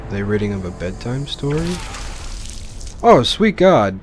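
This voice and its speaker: A man's voice: lowish voice, very animated, measured pace, background noise. A man giving casual, rambling and exclamatory commentary as host of a video game let's play. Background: game music and sound.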